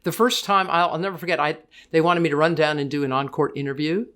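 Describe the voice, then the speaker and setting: Deep-voiced older woman, chatty and quick. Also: steady tone, a bit bright. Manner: talks fast in bursts with many restarts, laughs easily. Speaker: guest, tennis broadcaster. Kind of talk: casual interview chat.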